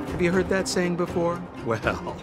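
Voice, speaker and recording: A man's voice: high voice, animated, unhurried, over music. A man voicing a shifty, glib young con man in a video game, theatrical and full of exaggerated salesman patter. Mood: persuasive, glib.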